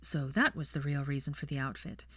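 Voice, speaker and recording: A woman's voice: deep voice, brisk, a bit bright, husky, dry recording, clean studio sound. A woman reading fiction aloud as scripted audiobook-style narration.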